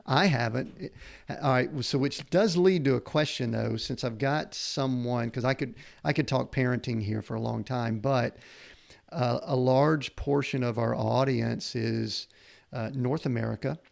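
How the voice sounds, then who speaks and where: Gravelly-voiced male podcast host. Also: medium pitch, a little slow. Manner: rambling, earnest, searches for words. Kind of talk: conversational interview.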